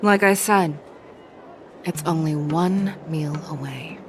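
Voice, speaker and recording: A woman playing a patient, scheming conspirator in a video game, speaking in a hushed, deliberate way over ambient noise. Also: low voice, faint music behind. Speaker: voice actor. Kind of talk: scripted video game acting.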